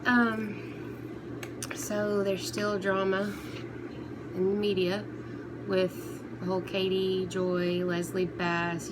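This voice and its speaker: A woman giving casual, unscripted commentary on online drama, speaking in halting phrases that trail off and restart. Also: on the low side. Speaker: commentary vlogger. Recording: clearly noisy recording, background ambience.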